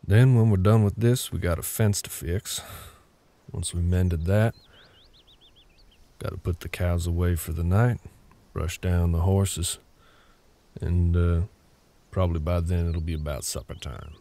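A man voicing a gruff, terse older cowboy with a Southern US drawl and a gravelly voice in a roleplay audio drama. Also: sits low, steady tone, a little slow, pauses often, mellow. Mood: matter-of-fact, instructing. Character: gruff older cowboy ranch hand, grumpy, curt, grudgingly helpful.

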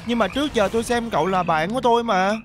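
A man's voice: high voice, quick pace, lightly acted. Plaintive chubby genie boy acted by a man, childish, whiny, emotional, cartoon dub, music behind. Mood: hurt, plaintive.

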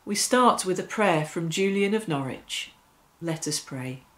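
A middle-aged woman leading devotional prayers and reflection in a deliberate, phrase-by-phrase way. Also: fairly low pitch, lively intonation. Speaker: prayer leader. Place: small domestic room, seated on a sofa.

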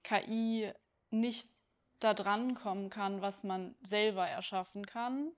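A woman's voice: medium pitch, steady tone, slow. Young woman, 19, earnest student interviewee. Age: teens. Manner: earnest, many fillers. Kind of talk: casual interview talk.

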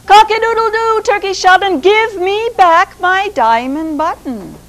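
A woman voicing a small, brave male rooster who crows high and insistent in a storybook tale. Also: measured pace, fairly bright tone, full character voice. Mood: defiant crowing. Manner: high crowing calls.

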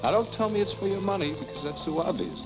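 Flippant, wisecracking American husband, vintage film drama, muffled sound over score. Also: male voice, sits high, lively intonation, fast, over music. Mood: teasing. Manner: glib, dry. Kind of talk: 1940s film acting. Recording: dull 1940s film soundtrack.

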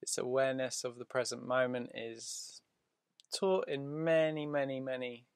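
A man leading a guided meditation in a soothing, deliberate way, leaving drawn-out pauses between short phrases. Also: medium pitch, little pitch movement, smooth, no echo, studio quality.

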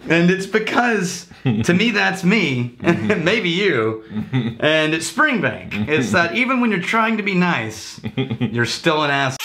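A man in his thirties leads a relaxed whiskey tasting in a home bar lined with bottles. His voice has a bright, forceful edge, and he jokes and explains with animated energy. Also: on the high side, slow. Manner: animated, joking, lecturing with hand gestures. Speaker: whiskey reviewer and teacher. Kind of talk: casual tasting chat.

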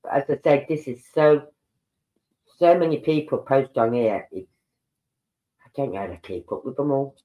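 A man's voice: medium pitch, expressive, moderate pace, pauses often, phone-quality sound. An older man with a congested nose, musing aloud as he hosts a casual true-crime livestream from home. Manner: rambling, musing aloud, breaks off mid-sentence. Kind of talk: casual livestream commentary reading posts aloud.

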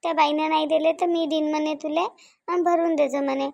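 A high-voiced, frightened village wife, acted by a woman in a Marathi family drama. She pleads tearfully and repeats herself, with a rural Vidarbha accent. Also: steady tone, fairly quick, vocal fry, darkish tone. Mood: meek.

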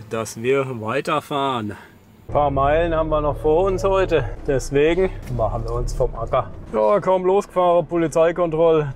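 A man giving casual, laid-back travel commentary with dry, colloquial wit. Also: on the high side. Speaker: travel vlogger. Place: on the move, in a vehicle and outdoors. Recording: clear outdoor and vehicle noise.

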